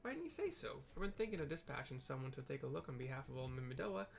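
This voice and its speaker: A man voicing a gruff, grumbling port supervisor in a video game, with rustic dialect and folksy tag phrases. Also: medium pitch, sing-song, fast talker. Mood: eager, folksy.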